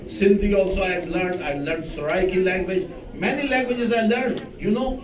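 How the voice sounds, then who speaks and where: A man's voice: high voice, distant mic. A man whose first language is Pashto, giving a religious lecture and talking directly to his audience with emphatic lists and questions. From Afghanistan or Pakistan. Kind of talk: sermon to a live audience. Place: large mosque hall. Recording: echoey hall, speaker off-mic.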